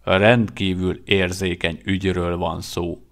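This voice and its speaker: A man voicing a seasoned male vampire who talks slowly, in a measured and dry way. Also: sits low, flat delivery, fairly bright tone. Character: seasoned male vampire, gruff but warm-hearted.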